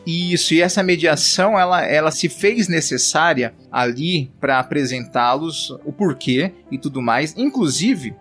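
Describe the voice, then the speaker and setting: A Brazilian man co-hosting a relaxed Bible podcast, joking and acting out quotes as he talks. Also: on the high side, unhurried, hardly any pauses, slightly rough, soft background music. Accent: Brazilian Portuguese. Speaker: podcast co-host. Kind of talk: casual Bible commentary chat.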